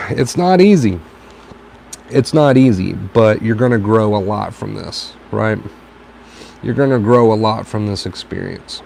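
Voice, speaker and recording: A man with a fairly low voice, worn raspy and dry from tiredness, talks through advice on a casual live stream. He circles back and repeats key phrases. A faint hiss of background noise sits under the lo-fi stream audio. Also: darkish tone. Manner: reflective, repeats phrases for emphasis. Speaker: host answering viewer questions. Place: home stream from a tablet.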